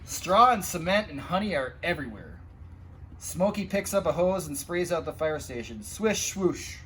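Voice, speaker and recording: Higher-voiced man reading storytime, noisy recording. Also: moderate pace. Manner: expressive, addressing young listeners with asides and sound effects. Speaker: firefighter reading a children's picture book aloud. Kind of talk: animated storytime read-aloud.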